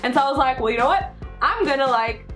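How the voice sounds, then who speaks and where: A young woman with an American accent chats like a vlogger sharing personal stories. Her voice sits fairly high and her delivery is casual and emphatic, strewn with "like". Soft music runs behind her. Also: fast talker. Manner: animated, emphatic, peppered with "like". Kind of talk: casual, chatty personal storytelling.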